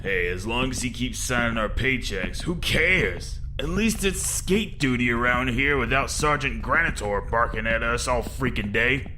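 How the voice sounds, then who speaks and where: A man's voice: mid-range voice, animated, unhurried, few pauses, pushed and bright, slight background noise. A man playing a brash, slangy slacker guard working as a henchman in an audio drama. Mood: cocky. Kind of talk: audio comic character acting.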